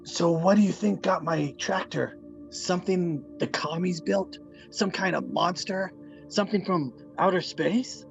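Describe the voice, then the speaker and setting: A man's voice: high-pitched, unhurried, creaky, soft background music. A man playing a blunt, curious farmer in a screenplay table read, on slightly muffled audio. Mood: curious, probing.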